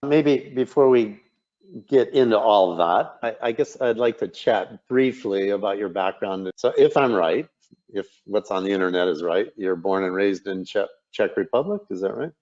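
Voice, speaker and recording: A man's voice: on the low side, moderate pace, darkish tone. A man hosting a podcast and casually asking his guest questions, a little hesitant. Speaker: podcast host. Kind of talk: interview questions. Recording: distant, dull lo-fi remote recording.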